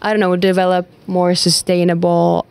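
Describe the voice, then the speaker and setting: A woman's voice: lowish voice, flat delivery, normal speed, creaky, a little mellow, smooth. A young woman from Finland whose first language is Swedish, answering interview questions in English with hesitant fillers in a school classroom. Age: young adult. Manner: hesitant, with frequent fillers and restarts. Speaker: exchange student.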